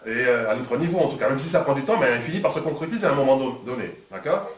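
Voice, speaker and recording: A man interviewing, halting with many fillers and restarts, a little stuffed up from a cold. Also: mid-range voice, animated. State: congested from a cold. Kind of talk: hesitant conversational interview. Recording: echoey room, distant mic.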